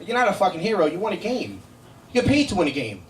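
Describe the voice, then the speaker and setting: Middle-aged male stand-up, New York accent, brash and foul-mouthed, pitched high, heard in an echoey room. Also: huge pitch swings, quick pace, slight background noise. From Brooklyn, New York, United States. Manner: brash, profane, conversational. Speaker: stand-up comedian. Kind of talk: live stand-up comedy with crowd banter. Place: indoor comedy show in a cafe. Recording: echoey live room, miked from a distance.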